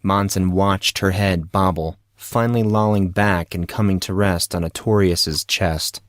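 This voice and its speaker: A man reading fantasy fiction as an audiobook narrator, in a deliberate, suspenseful dramatic style. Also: deep voice, steady tone, no room sound, clean studio sound.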